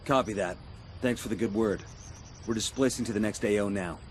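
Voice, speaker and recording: An actor as a low, fast-talking soldier. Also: male voice, a little hiss or hum, natural voice. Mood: businesslike. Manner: terse, hard-edged. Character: stern, clipped male special forces soldier. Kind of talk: terse military dialogue.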